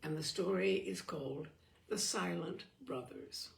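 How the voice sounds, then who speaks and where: A woman reading a literary short story aloud in an expressive, measured narrating style. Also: low voice, vocal fry. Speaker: story reader.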